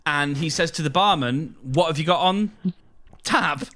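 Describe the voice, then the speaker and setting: A man co-hosting a podcast in casual comedic chat, swearing freely, with halting, stop-start storytelling. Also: on the high side, sharp tone. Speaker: podcast co-host.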